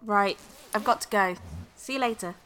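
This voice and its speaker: A brisk woman , played by an actress chats in a radio play. Her voice is sharp and bright at a middling pitch, with a casual, grumbling manner. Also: a little hiss or hum, close to an everyday voice.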